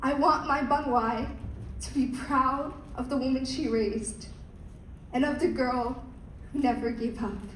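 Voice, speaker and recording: Young woman, high voice, slow measured delivery, pageant speech, echoey hall heard from afar, faint background hum. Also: fairly even intonation, recorded from a distance. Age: young adult. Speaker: pageant contestant. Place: large hall.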